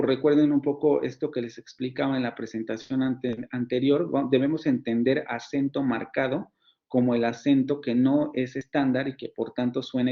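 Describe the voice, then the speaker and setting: Man, Mexican Spanish accent, university professor, online lecture, fillers and restarts, medium pitch, muffled lo-fi audio. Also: creaky. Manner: explanatory, many fillers and restarts, rhetorical questions. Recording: dull lo-fi sound.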